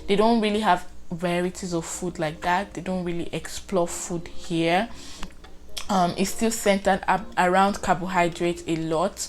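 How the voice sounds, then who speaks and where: A woman's voice: fairly low pitch, steady tone, measured pace. A young woman from Nigeria with a Nigerian accent, chatting expressively in a casual vlog and acting out her reactions. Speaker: vlogger.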